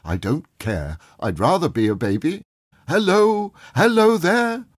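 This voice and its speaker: A man voicing an elderly reformed miser, breathless and overjoyed, exclaiming in a high, excited voice. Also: unhurried, breathy edge, dry recording, clean studio sound, full character voice.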